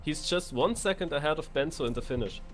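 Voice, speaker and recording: A man's voice: fairly high pitch, animated, quick pace. A man giving conversational live commentary as a co-caster on an esports racing game. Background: music.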